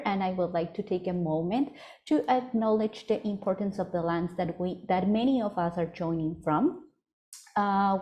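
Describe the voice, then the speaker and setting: A woman's voice: medium pitch, a little mellow. A woman from Ecuador with an Ecuadorian Spanish accent, presenting a webinar on a video call, hesitant with many fillers and self-corrections. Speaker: project coordinator presenting a webinar. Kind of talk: virtual presentation. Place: home office in Vancouver.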